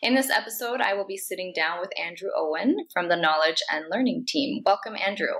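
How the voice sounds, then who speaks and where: A woman's voice: on the low side, fairly quick, a bit bright. A woman hosting an interview series, politely reading out prepared questions to her guest.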